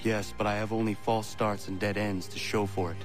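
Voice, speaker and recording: An actor playing a proud, earnest young assassin in a video game, speaking in formal, stiff, measured phrases. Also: male voice, on the low side, level tone, lightly acted. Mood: guarded. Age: young adult. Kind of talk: video game cutscene acting. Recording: music behind the voice.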